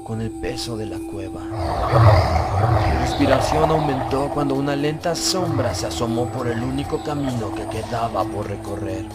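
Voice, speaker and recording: A man's voice: medium pitch, a little slow. A man reading a horror audiobook as a dramatic, grave, suspenseful first-person narration. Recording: loud background music under the voice. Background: loud music.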